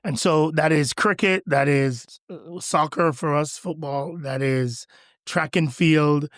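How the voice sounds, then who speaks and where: Middle-aged male pastor from Jamaica, podcast guest; lively, enthusiastic storyteller, slightly high voice, dry close mic. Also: slow, no room sound. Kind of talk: podcast interview conversation.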